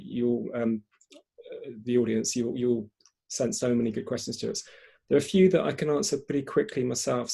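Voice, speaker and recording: A man hosts an online panel in a husky, creaky voice. His tone is soft-edged and mellow, and he feels his way through sentences, often doubling back on words. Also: mid-range voice. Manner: hesitant, repeats words as he goes. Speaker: event host. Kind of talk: hosting an online panel discussion.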